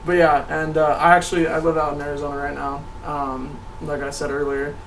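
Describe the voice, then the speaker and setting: A young American man giving a casual, earnest and somewhat halting introduction to a group as an actor. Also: on the high side, completely flat delivery, a bit bright. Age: young adult. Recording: noisy, distant microphone.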